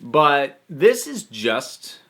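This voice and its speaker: A man's voice: fairly high pitch, very animated, drawn-out words, pushed and bright. A man hosting a casual review monologue, talking in an enthusiastic, emphatic, conversational way. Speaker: host and reviewer.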